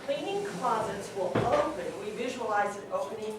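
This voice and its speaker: Female announcer, mid-pitched scripted introduction, far-off echoey hall, loud noise. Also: expressive, normal speed. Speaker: reading announcer.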